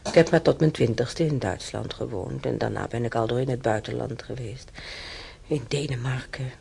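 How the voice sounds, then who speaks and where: A man's voice: mid-range voice, normal speed, vocal fry, darkish tone, slight background noise. An actor in a radio play voicing a chatty, stubborn old German-born man who rambles through his memories with hesitations. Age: older. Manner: rambling, reminiscing, with hesitations and tag questions. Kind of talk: conversational radio play acting.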